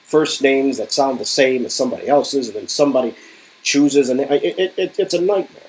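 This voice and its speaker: A man's voice: mid-range voice, normal speed, a little mellow, a little hiss or hum, close to an everyday voice, poor audio. A man playing a grandiose, smug, absent-minded male inventor villain with a theatrical, stammering delivery in a dramatic reading of game dialogue. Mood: flustered. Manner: theatrical, stammering, self-important.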